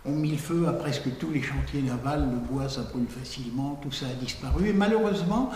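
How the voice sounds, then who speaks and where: A man's voice: mid-range voice, fairly quick, darkish tone, slight background noise. Man in an echoey hall. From Picardy, France. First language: Picard. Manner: conversational and digressive, with frequent "hein" tags. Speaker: lecturer. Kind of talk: public lecture. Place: large lecture hall. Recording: echoey hall with long reverb.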